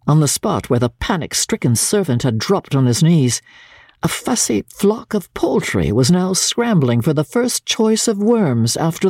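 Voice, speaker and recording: A man reading a classic novel aloud as a narrator, in a measured, formal, dramatic storytelling manner. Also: mid-range voice, dark tone, husky, no echo, clean studio sound. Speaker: audiobook narrator.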